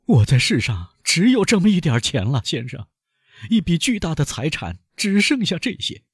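Shrill, panicky captive banker, husky, voice leaping wildly high, played by a man reading a storybook. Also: sing-song, normal speed, no room sound, studio quality, exaggerated voice. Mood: pleading. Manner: shrill, pleading and desperate. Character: frantic aging male banker held captive.

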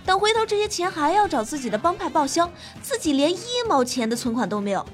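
Woman, high-pitched voice, very lively intonation, playful dramatic gaming host, scripted delivery. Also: fast. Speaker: game show host and streamer. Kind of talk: scripted gaming news narration. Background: background music.

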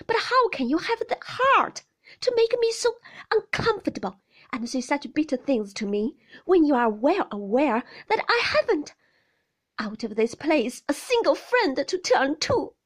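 A woman voicing an emotional young mother, tearful and defensive, pleading in a high, shrill voice. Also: very animated, a little slow. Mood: distressed.

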